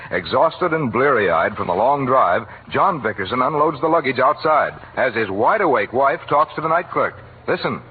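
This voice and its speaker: A man's voice: mid-range voice, lively intonation, normal speed, slight background noise. A man narrating as announcer in a scripted 1940s radio style. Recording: tinny old 1940s radio recording. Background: music.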